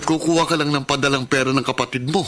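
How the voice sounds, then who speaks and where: A man's voice: medium pitch, steady tone, quick pace, a bit bright, lightly acted. Man acting a stern, worried young Filipino father. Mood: angry, reproachful. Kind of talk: Filipino radio drama acting. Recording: slightly noisy radio drama audio.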